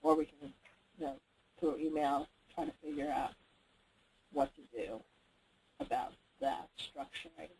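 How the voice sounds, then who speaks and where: A woman's voice: low-pitched, big pitch swings, unhurried, vocal fry, long pauses, a little mellow. A woman leading a group conference call as organizer, talking informally and tentatively, thinking aloud and checking in with the others. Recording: noisy conference call line.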